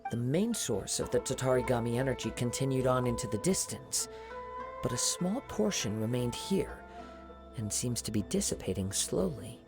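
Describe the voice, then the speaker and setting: An actor playing a calm, observant young wandering samurai in a video game, speaking in a measured, formal way. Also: male voice, mid-range voice, music in the background, close to an everyday voice. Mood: calm, thoughtful.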